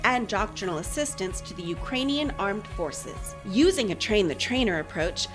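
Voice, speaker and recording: Female narrator for an institutional video; formal, animated intonation, music underneath. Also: medium pitch, normal speed. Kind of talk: scripted corporate military video narration. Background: music bed.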